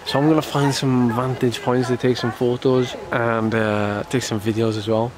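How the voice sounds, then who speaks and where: A man's voice: medium pitch, flat delivery, moderate pace, a crowd in the background. A man from the United Kingdom, a professional cyclist, talking casually to camera while ill and slightly congested, rambling with lots of fillers and restarts. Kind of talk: casual vlog talk to camera.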